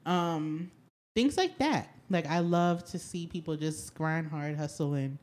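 A woman in her twenties hosts a casual podcast, telling stories in an animated, slangy way. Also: low voice, measured pace. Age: 20s. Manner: animated storyteller, slangy, says "yo" a lot. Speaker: podcast host.

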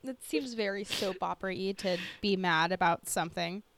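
A woman's voice: mid-range voice, moderate pace, a bit bright, a little hiss or hum. A woman podcast co-host in casual chat, asking questions and reacting in an informal, exclaiming way.

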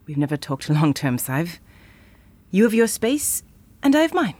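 A woman's voice: on the low side, sing-song, normal speed, darkish tone, dry recording. An actress playing a frank, playful, independent woman in a naturalistic audio drama. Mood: guarded.

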